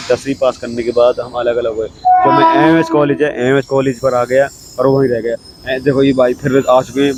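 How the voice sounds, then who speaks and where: A hoarse young man with a cold, chatting casually to camera as a vlogger and repeating himself often. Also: medium pitch, a little mellow, slight background noise, low-quality recording. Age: young adult. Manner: chatty and repetitive, keeps saying okay. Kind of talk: casual vlog talk to camera. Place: railway station platform, outdoors. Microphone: clip-on mic.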